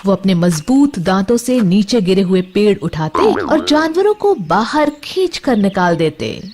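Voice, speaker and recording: A woman's voice: mid-range voice, very animated, unhurried, a little mellow, slight background noise. A woman narrating a scripted children's bedtime story, expressively addressing young listeners. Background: music.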